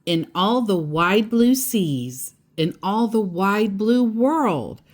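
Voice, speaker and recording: Slow-paced, lively woman reading a storybook. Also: medium pitch. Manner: expressive, playful, addressing a child. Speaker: storytime host and narrator. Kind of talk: animated picture-book read-aloud with chatty asides.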